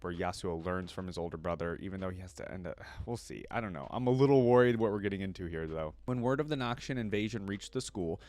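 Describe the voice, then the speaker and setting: A man's voice: deep voice, darkish tone. A man hosting a reaction video, mixing casual commentary with reading aloud and informal asides.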